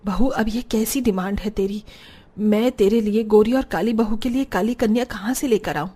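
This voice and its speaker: Woman voicing a patient, mellow-voiced household woman. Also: medium pitch, moderate pace, breathy edge, lightly acted. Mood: weary, patient. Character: patient, reasonable woman of the household, gently reproving. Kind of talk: Hindi cartoon story dialogue.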